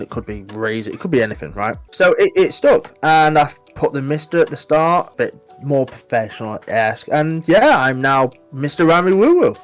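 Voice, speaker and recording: A man, a podcast guest chatting casually, animated and emphatic, giving advice in short punchy bursts. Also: medium pitch. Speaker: podcast guest, Twitch mod.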